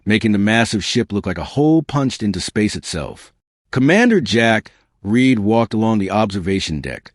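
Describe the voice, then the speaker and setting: A male audiobook narrator reads science fiction in a lowish voice. His delivery is animated, with plenty of movement in pitch. The sound is dry and close, free of room. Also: no echo.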